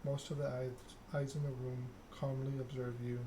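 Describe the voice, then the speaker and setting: A man streaming a text game, reading narration aloud in a soft-spoken ASMR style with casual chat. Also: medium pitch, flat delivery, normal speed. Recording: clear background noise.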